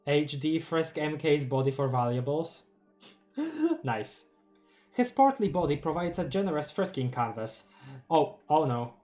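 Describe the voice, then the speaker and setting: A streamer from Poland with a light Polish accent, reading a webcomic aloud on a live stream in a relaxed, chatty way. The delivery is giggly and excitable, with frequent stumbles over long words. Faint music plays underneath. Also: medium pitch, measured pace.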